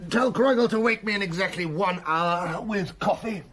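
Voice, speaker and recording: An actor in a radio drama playing a weary, cynical German admiral and spymaster who talks in a sardonic, confiding way. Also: male voice, high voice, normal speed, fairly bright tone, a little hiss or hum. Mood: wry, weary.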